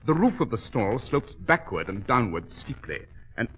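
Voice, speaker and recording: A keen, commanding British gentleman detective. His speech is formal and precise, with lively swoops of pitch. The sound is a muffled 1940s radio recording. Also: normal speed. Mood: keen, assured. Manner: clipped, assured, precise, formal. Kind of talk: 1940s radio drama acting. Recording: dull vintage radio recording.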